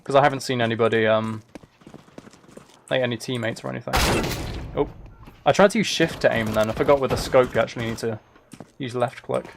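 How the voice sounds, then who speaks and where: A man with a mid-pitched voice chats casually through a live gaming stream, often putting himself down as he comments on play. Gunfire and clicks from the game are clearly audible behind his voice. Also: brisk, long pauses, background noise. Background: video game gunfire, clicking.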